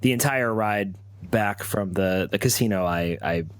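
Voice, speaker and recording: A man with a low voice plays a tabletop role-playing game, telling his character's story in the first person. He feels his way haltingly, doubling back on words. The sound is dry, with very little room to it. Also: dry recording. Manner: halting, often repeating words.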